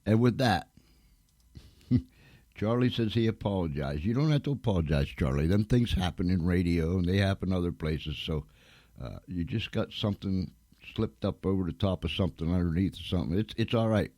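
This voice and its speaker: A man's voice: sits low, moderate pace, warm tone, dry recording. A man hosting a live radio show in a casual, rambling, folksy way, often repeating himself for emphasis.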